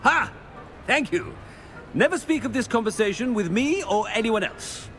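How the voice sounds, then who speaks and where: An actor playing a pompous, middle-aged wizard headmaster in a video game, blustering and stammering in a theatrical, imperious way. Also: male voice, extremely high pitch, animated, normal speed, fairly bright tone, a little hiss or hum. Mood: imperious, bluffing. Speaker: school headmaster character.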